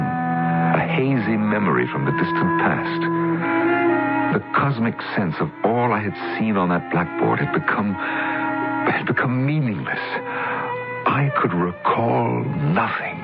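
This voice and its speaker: An actor playing a troubled male scientist who narrates in a halting, confessional way in an old radio drama. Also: fairly low pitch. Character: troubled male scientist haunted by his past. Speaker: narrator and lead character. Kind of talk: 1970s radio drama acting. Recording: tinny old radio broadcast with music.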